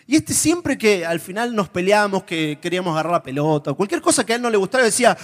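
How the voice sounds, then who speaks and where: Animated Argentine preacher, high voice. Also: male voice, quick pace. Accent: Argentine Spanish. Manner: humorous, animated storytelling, shifts to whispers. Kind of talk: sermon with personal stories. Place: church before a live congregation.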